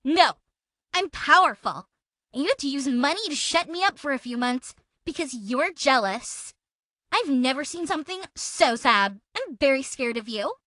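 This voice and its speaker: A woman's voice: sits high, expressive, normal speed, sharp tone, no room sound, clean studio sound. A woman playing a belligerent, self-important woman in a feud, taunting and accusatory, as a theatrical dramatic reading. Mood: taunting, haughty. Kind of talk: dramatic reading of a text exchange.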